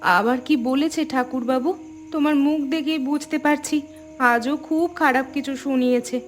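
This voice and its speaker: Woman playing a high-pitched, plaintive fisherman's wife. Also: steady tone, moderate pace, a little hiss or hum, lightly acted. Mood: aggrieved. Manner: aggrieved, anxious, plaintive. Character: worried, hurt wife of a poor fisherman. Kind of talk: scripted cartoon dialogue.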